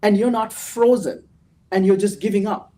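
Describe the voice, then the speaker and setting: A man's voice: high-pitched, steady tone, normal speed, warm tone, distant mic. A host leading a casual trading show and giving motivational advice, often ending his points with "right?". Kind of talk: casual chat.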